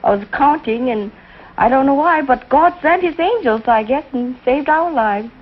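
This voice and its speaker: A woman's voice: sits high, animated, moderate pace. A woman contestant on a quiz show, talking eagerly and earnestly about her life and work. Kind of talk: earnest guest interview. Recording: dull noisy 1950s broadcast recording.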